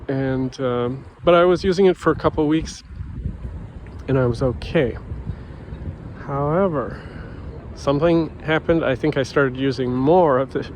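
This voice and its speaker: A man's voice: on the high side, darkish tone, a little hiss or hum, rough recording. A man vlogging in a rambling, casual way with many fillers and restarts, sounding stuffy and sniffling. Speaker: vlogger, educator. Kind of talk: casual monologue to camera.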